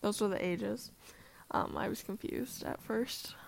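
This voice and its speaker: Woman with a gravelly fry, casual podcast chat, lots of um's, close on a shared mic. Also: mid-range voice, unhurried, whispered. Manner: hesitant, lots of "um" fillers. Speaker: podcast co-host. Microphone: shared mic, close to her.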